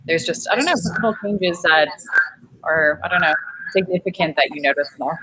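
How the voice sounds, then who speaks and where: Friendly lower-voiced woman on a noisy call. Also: normal speed, fairly bright tone. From Kenya. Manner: friendly, laughs easily, chatty. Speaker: MBA student. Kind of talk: casual video-call chat. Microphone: video call. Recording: video call with clear background noise.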